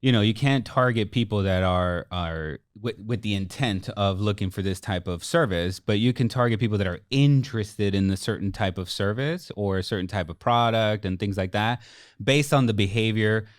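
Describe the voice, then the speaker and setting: A man's voice: fairly low pitch, moderate pace, smooth, dry recording, studio quality. A man co-hosting a business podcast, explaining things informally and speaking straight to the listeners. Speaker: podcast co-host, marketing agency coach. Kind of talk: casual business podcast conversation.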